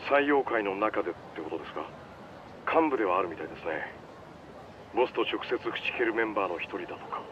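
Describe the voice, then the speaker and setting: A man's voice: lowish voice, expressive, fast talker, close to an everyday voice. A man voicing a shrewd, polite, easygoing underworld informant in a video game cutscene, explaining things casually over the phone. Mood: casual, cautionary. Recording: dull phone-call sound with street noise.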